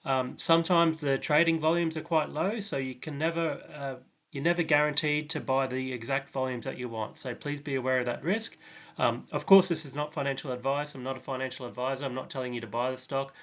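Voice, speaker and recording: A man's voice: mid-range voice, steady tone, a little fast, a bit bright, dull audio. Hesitant man talking stock picks. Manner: hesitant, many ums and restarts. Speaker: stock channel host. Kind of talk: casual stock analysis monologue.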